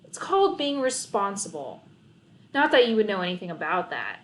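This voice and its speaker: A woman's voice: medium pitch, big pitch swings, normal speed, lightly acted. A woman voicing a stressed, bossy, studious schoolgirl in an emphatic, exasperated manner for a storybook. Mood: exasperated, defensive.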